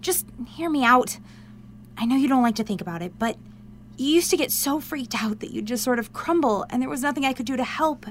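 Excitable high-voiced young confidante. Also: female voice, fairly quick, a little hiss or hum. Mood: gentle, coaxing. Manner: informal, earnest, coaxing. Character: excitable, caring, pushy young woman, loyal confidante. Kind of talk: modern audio drama acting.

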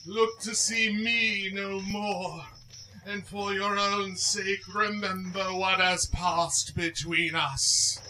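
Wailing, high-pitched tormented ghost. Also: male voice, steady tone, slow pace, noisy background. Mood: urgent warning. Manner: declamatory, solemn, anguished wailing. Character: tormented male ghost of a remorseful dead businessman. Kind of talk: theatrical radio play acting.

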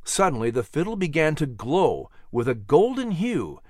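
A man narrating a children's story from a script in an expressive storytelling style. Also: a little high, moderate pace, no echo, clean studio sound. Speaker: storyteller and host.

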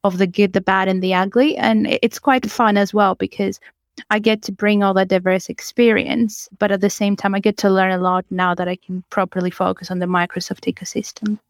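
Young woman guest, Greek and Italian native speaker, smooth clear voice, dry sound, enthusiastic. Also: medium pitch, fairly quick, no room sound. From Greece and Italy. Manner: enthusiastic, animated, occasional laughs and restarts. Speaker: guest, change consultant. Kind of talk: podcast interview.

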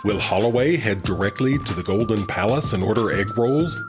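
A man puts on a dramatic old-time serial announcer. His fairly low voice delivers portentous cliffhanger narration while music plays clearly underneath. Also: lively intonation, normal speed, natural voice.

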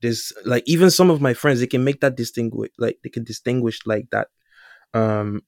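A man's voice: mid-range voice, fairly even intonation, normal speed, gravelly, a little mellow, dry recording, clean studio sound. A young man from the Caribbean with a mixed Caribbean and Canadian-sounding accent, chatting on a podcast and rambling with lots of fillers. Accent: Caribbean-Canadian mix. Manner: rambling, heavy on fillers like "like" and "you know". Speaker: podcast co-host. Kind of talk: casual podcast chat.

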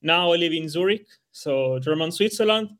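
A man from southern Italy speaks English with an Italian accent. His voice sits a little high for a man. He explains things conversationally, as a guest on a panel. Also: lively intonation, moderate pace, fairly bright tone. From Sicily, southern Italy. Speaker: podcast panel guest, content specialist.

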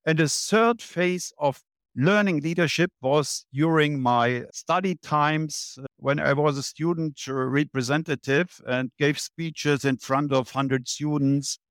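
A man in his seventies, a guest in an interview, laying out his points one by one in a measured way. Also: fairly high pitch, no echo, studio quality. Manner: measured, listing points in order. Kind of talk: interview answers.

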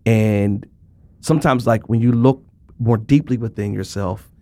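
A man's voice: fairly low pitch, measured pace, darkish tone, no room sound. A man hosting an interview, drawing out his guest's story with encouraging, affirming prompts. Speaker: podcast host. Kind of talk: conversational interview.

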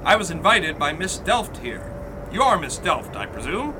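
An actor playing a breezy, boastful young college chum of the 1920s, jaunty and mock-formal, in a period audio drama. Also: male voice, fairly high pitch, very animated, moderate pace, background noise.

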